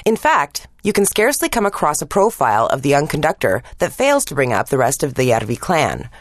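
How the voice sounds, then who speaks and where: A woman's voice: low-pitched, wildly swooping pitch, a little fast, no room sound, studio quality. Woman narrating a scripted radio feature.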